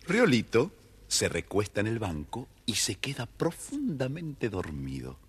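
A man's voice: lowish voice, huge pitch swings, a little slow, breathy edge. A man narrating a scripted children's story expressively.

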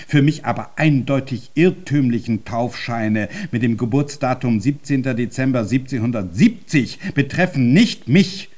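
Guy acting stubborn old-time composer, pedantic n formal, radio play, mid-pitched man. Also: a little mellow, natural voice. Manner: formal, pedantic, emphatic declarations. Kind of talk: German radio drama acting.

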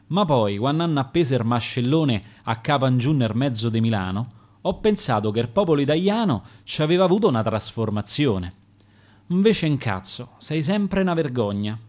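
Roman guy reading his dialect poems on the radio, steady rhythmic verse, medium voice. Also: medium pitch. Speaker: poet reading his own sonnets. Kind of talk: radio poetry recitation. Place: radio studio.

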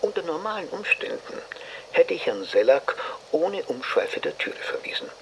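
Radio drama role: smug older male analyst, wordy and formal, swooping intonation with a gravelly edge. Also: fairly high pitch, big pitch swings, normal speed, slight background noise, rough recording. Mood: dry narrating. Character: pompous older male psychoanalyst, smug and unflappable. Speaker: narrator and psychotherapist. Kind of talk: German radio drama acting, literary narration.

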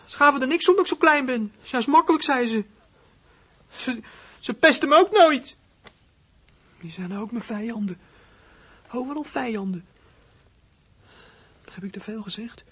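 A performer playing a small troubled runaway boy in a radio drama, husky and hesitant, speaking in plain colloquial phrases. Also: mid-range voice, lively intonation, moderate pace, pauses often, a bit bright. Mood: pleading. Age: child character. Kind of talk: radio drama acting with whispered inner monologue.

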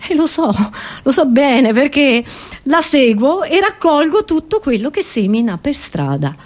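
A woman's voice: high-pitched, sing-song, measured pace. A woman performs stand-up style storytelling. She talks in a confiding, conversational way, as a comedian addressing her audience.

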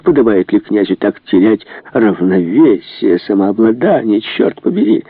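An agitated, irritable prince played by a male reader in a storybook, swinging between bluster and anguish. His voice is husky and climbs well up when he frets, heard through a narrow phone-like band. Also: lowish voice, lively intonation, moderate pace, no echo. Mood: indignant, flustered. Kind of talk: dramatised audiobook character reading.

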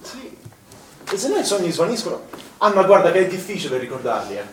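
A man's voice: high-pitched, sing-song, a little fast, crowd noise. An actor playing a concerned, inquisitive man who works at a pool, asking insistent questions conversationally in an Italian stage drama. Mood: earnest, helpful. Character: concerned, inquisitive male swimming pool colleague. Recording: distant echoey stage recording with background noise.

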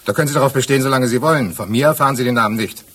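An actor in a German radio play playing a touchy, bereaved gentleman under questioning, with a husky voice and formal, prickly manner. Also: medium pitch, racing. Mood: indignant.

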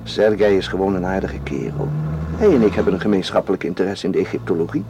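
An actor in a Dutch radio play, playing a devoted, calmly persuasive man who keeps secrets. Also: sits low, fast talker, noisy background. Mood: reassuring. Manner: calm, gently insistent.

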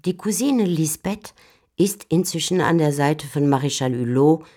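A woman giving measured, composed scripted narration for a literary radio drama. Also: low-pitched, dark tone. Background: faint music.

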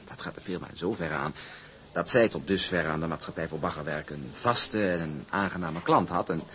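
An actor playing a pompous shipping company envoy in a Dutch period radio drama, formal and wordy, with oily politeness. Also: male voice, low voice, fairly bright tone. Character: smooth, pompous male shipping company envoy, veiled menace.

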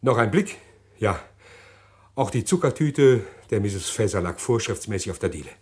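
A man's voice: lowish voice, lively intonation, a little mellow, close to an everyday voice. An actor playing a composed man confessing murder. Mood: calm confessing. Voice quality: measured, deliberate, hesitant with pauses. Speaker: confessing suspect in a radio play. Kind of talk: 1950s radio drama acting.

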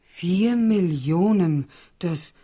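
A woman's voice: lowish voice, animated, extremely slow, dark tone, heavily acted. A woman playing a middle-aged, greedy and servile mother in a German radio drama. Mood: greedy, amazed.

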